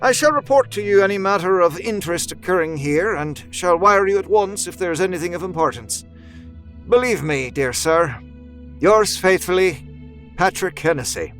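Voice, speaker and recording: A man's voice: sits high, lively intonation, normal speed, fairly bright tone, soft background music. An actor playing a deferential, businesslike Victorian man narrating his letter in an audio drama, formal and dutiful. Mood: dutiful, earnest.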